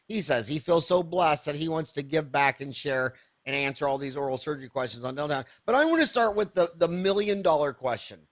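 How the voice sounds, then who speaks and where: A man's voice: medium pitch, a bit bright. A man in his fifties hosting an interview podcast, enthusiastic and rambling, with many restarts. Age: 50s. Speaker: podcast host. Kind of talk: casual interview.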